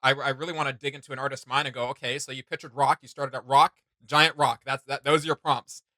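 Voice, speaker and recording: Bright-voiced man, casual and rambling. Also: mid-range voice, little pitch movement, fast talker, no room sound. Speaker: reaction video host. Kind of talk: casual reaction commentary.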